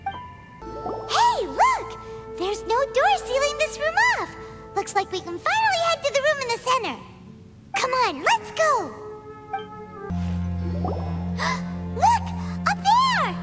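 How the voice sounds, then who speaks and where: A tiny, curious fairy sidekick from a video game. Her voice is extremely high, squeaky and childlike, jumping around with excitable exclamations. She takes her time over the words, and loud music plays behind her. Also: takes it slowly, pauses often, heavily acted. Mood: excited, startled. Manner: excitable, exclamatory, refers to self in third person. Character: small childlike female floating fairy companion, excitable and curious.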